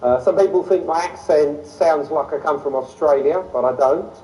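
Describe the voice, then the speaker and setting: A man's voice: on the high side, moderate pace. A man from London with a London accent, giving an illustrated lecture in an enthusiastic manner. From London, England. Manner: enthusiastic, easily excited. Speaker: lecturer on ancient sites. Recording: voice distant from the mic.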